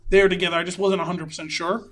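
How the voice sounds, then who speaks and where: A man co-hosting a podcast, chatting casually about films in an animated way, often saying "like". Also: sits high, racing. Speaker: podcast co-host. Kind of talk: casual film review chat.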